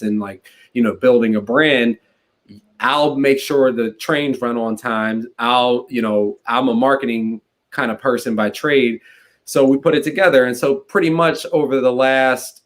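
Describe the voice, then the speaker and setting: A man in his late twenties, a guest political consultant, talking fast and casually with lots of "like". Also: medium pitch. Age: 20s. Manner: fast, conversational, peppered with "like". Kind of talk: casual interview chat.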